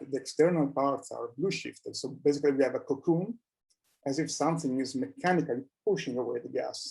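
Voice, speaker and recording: Male scientist presenting findings: soft-edged dark tone, average pitch, somewhat hesitant phrasing. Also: gravelly, low-quality recording. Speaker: researcher presenting at a conference.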